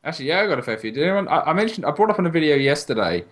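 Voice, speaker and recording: A man's voice: fairly high pitch, big pitch swings, very fast. Wry man chatting about games. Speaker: podcast co-host. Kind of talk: casual gaming podcast chat.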